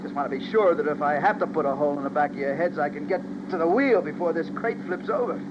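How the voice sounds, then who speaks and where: Man acting a menacing high-pitched radio stick-up man. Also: fast, close to an everyday voice. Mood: threatening. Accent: American. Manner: tough gangster slang, barked orders. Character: menacing armed stick-up man, cocky and gloating. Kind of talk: 1950s radio crime drama acting. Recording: noisy muffled vintage radio recording.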